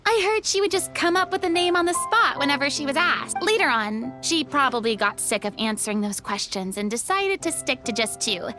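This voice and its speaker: An actress voicing an expressive, sympathetic young woman in a video game, chatty and casual. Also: sits high, brisk, hardly any pauses, over music. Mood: lighthearted. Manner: animated, casual, reactive.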